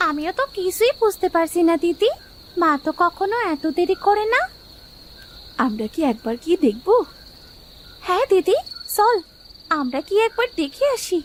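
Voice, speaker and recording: A woman voicing an eager, caring young village daughter in a cartoon story, with earnest, expressive delivery. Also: extremely high pitch, normal speed, pauses often, a little hiss or hum. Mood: worried. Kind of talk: Bengali animated moral story dubbing.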